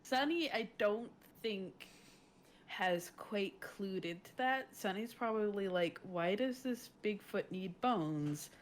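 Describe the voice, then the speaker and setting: A woman's voice: medium pitch, lively intonation, slow pace. A woman playing a tabletop game, talking through her character's moves in a casual, hesitant, wry, rambling way. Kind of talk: casual improvised role-play chat. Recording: some background noise.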